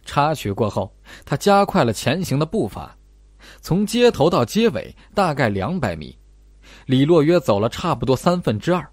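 A man reads a suspense horror audiobook in a medium-pitched, husky voice. His scripted delivery is dramatic, with wide swings in intonation, and the recording is close and dry. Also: very animated, moderate pace, no room sound. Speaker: audiobook narrator.

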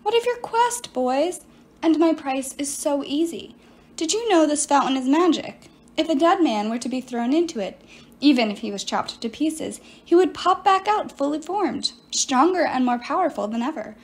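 A woman's voice: sits high, animated, normal speed, a little mellow, pitched above the performer's own voice. A woman voicing a scheming, vengeful ancient sorceress in an audiobook, theatrical and coaxing. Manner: coaxing, self-pitying, theatrical. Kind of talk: audiobook character voice.

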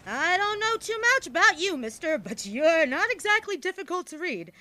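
A woman's voice: very high-pitched, very animated, moderate pace, bright, forceful tone, exaggerated voice. A woman playing a bold, teasing soapbox activist in a 1920s audio drama, slangy and declamatory. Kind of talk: period radio-drama acting.

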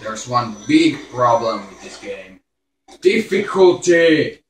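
A man's voice: medium pitch, sing-song, slow, slight background noise. A man giving casual live gameplay commentary, exclaiming and swearing in frustration as he plays. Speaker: gaming video host. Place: indoor room in front of a television. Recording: roomy, distant mic, game sound behind. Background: faint game music from television.

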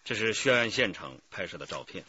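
A courteous male police detective in a radio drama, probing in a measured way. The voice is lowish and forceful with lively intonation, on a slightly noisy recording. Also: highs cut off, exaggerated voice.

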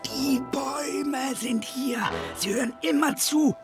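An actor playing a wary, secretive but friendly talking beaver in a German audio drama, speaking in a hushed, conspiratorial way. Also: high-pitched, takes it slowly. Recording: music under the voice.

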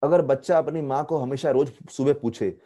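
A man in his forties with an Indian accent teaches over a video call, his voice mellow and warm. He tells stories with animated gestures and slips English phrases into his Hindi. He speaks into an earphone mic in a temple room. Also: medium pitch, normal speed. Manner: lively storytelling, mixing English phrases into Hindi. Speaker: spiritual teacher. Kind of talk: online spiritual lecture. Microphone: earphone headset mic on video call.

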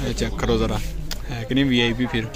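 A man's voice: mid-range voice, flat delivery, brisk, a crowd in the background. A young man with a Lahori Pakistani accent chatting casually to viewers in a jokey, slangy, exclaiming way as he vlogs. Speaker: vlogger. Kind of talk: casual vlog chat, showing things to viewers. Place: outdoors in a busy street market.

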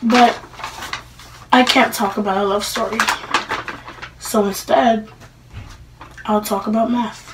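A woman playing a grieving, lovestruck teenage girl in a halting, emotional dramatic eulogy monologue. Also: fairly high pitch, fairly even intonation. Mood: apologetic, reflective. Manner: halting, emotional delivery with pauses. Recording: distant mic with faint room noise.

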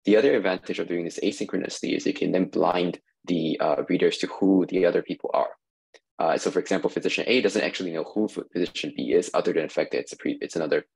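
A man giving a recorded conference talk over slides, measured and explanatory, with many "uh" fillers, on a webcam mic. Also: low-pitched, vocal fry, a little mellow, husky, poor audio. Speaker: industry researcher giving a talk.

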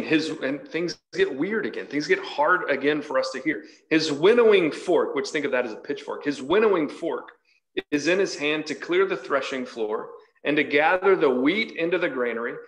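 A man's voice: medium pitch, fairly bright tone, poor audio. A man in his forties giving an emphatic sermon, reading scripture and explaining it, repeating phrases for stress. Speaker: preacher leading a Bible study. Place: large church hall, indoors.

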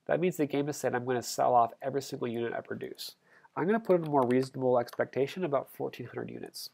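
A man's voice: mid-range voice, fast talker, gravelly, darkish tone. A man teaching a business simulation, talking step by step through a screen recording and correcting himself as he goes. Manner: explains step by step, self-corrects. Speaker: instructor. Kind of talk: screencast tutorial walkthrough.

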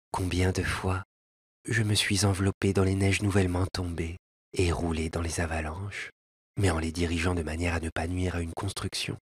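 A man's voice: deep voice, breathy edge, no room sound, clean studio sound, full character voice. A man reading a sorrowful lovelorn elf. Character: lovelorn exiled male elf, gentle and sorrowful. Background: faint piano.

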